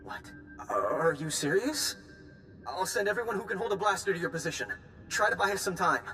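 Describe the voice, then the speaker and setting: Tense, bright-voiced harried lieutenant. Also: male voice, lively intonation, fairly quick, soft background music, low-quality recording. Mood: urgent. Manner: tense, businesslike. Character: harried, urgent male base lieutenant. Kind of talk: video game cutscene acting. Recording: faint rumbling noise behind game audio.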